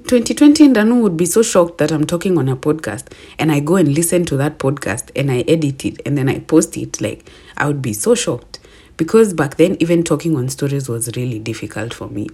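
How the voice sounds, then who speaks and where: A young Kenyan woman with a deep, low-pitched voice and a slightly husky edge talks through a casual solo podcast episode. She is chatty and self-affirming, and she laughs at herself easily. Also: moderate pace, warm tone. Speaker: podcast host.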